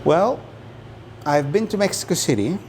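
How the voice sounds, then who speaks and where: A man from Saudi Arabia, a native Arabic speaker, answering casually in an interview and often pausing and repeating words as he explains. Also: fairly high pitch, sing-song, a little mellow, slight background noise. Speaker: interview guest.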